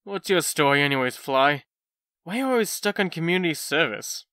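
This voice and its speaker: A man's voice: a little high, lively intonation, brisk, bright, forceful tone, no echo, studio quality. A man playing a cocky, resentful, whining young slacker in a comic dub. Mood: sulky.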